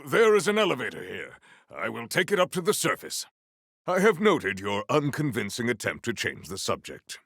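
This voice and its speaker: A male actor voicing a proud, potent warrior in a video game, with formal, grandiose, deadpan delivery. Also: mid-range voice, normal speed, gravelly, slightly rough, no echo, clean studio sound, full character voice. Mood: brisk, resolute. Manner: formal, stilted, grandiose diction. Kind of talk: scripted video game character lines.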